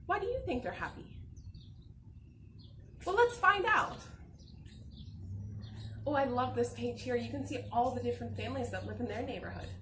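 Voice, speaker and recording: A woman's voice: fairly high pitch, expressive, normal speed, pauses often, a bit bright, reverberant, a little hiss or hum. A woman doing an interactive picture-book read-aloud for children, encouraging them with questions and praise.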